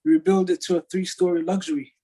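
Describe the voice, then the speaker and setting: A young man chatting casually in a slightly squeaky, husky voice, animated and slangy, often repeating himself. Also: fast. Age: young adult. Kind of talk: casual chat.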